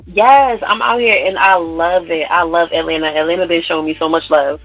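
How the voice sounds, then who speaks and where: A woman's voice: fairly low pitch, steady tone, fairly quick, fairly bright tone, dull audio, faint music behind. A young woman from Brooklyn, a guest artist on a radio interview, who talks in an upbeat, chatty way with lots of "um" and "like.". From Brooklyn, New York.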